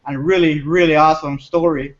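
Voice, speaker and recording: A man hosting an interview who chats in a friendly, excited way and often calls his guest "man". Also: on the high side, brisk, muffled recording. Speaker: interview host. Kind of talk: casual interview chat.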